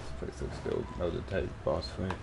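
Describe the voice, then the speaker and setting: Low, gravelly male game streamer. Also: moderate pace, background noise. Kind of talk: casual live gameplay commentary. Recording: loud game sound behind.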